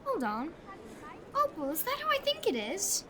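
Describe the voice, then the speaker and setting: Casting: cheeky Victorian street urchin girl, blunt and dismissive, high sharp voice, comic audio drama. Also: huge pitch swings, moderate pace, a little hiss or hum, full character voice. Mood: brash. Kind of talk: comedic audio drama acting.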